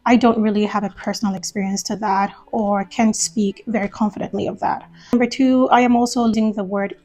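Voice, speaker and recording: A woman from Ghana who lives in the United States, explaining a topic in a measured, thoughtful video essay. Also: medium pitch, fairly even intonation, a little mellow. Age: adult, lived years in the US.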